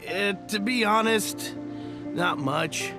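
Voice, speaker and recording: An actor playing an eager, loyal young soldier in a video game, sincere and a little hesitant. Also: male voice, sits high, slow pace, music in the background. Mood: sheepish, candid. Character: eager, loyal young male soldier reporting to his general.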